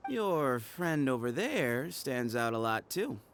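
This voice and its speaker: A man's voice: medium pitch, very animated, slow pace. A man voicing a cocky, easygoing young gang leader in a video game, with a sly, teasing, breezy manner. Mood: probing.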